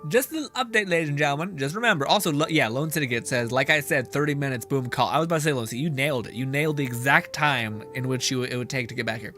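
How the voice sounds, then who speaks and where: A man giving casual, sweary live commentary on a game as a streamer, animated and mock-indignant while chatting with viewers. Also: mid-range voice, rapid-fire, hardly any pauses. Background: game music.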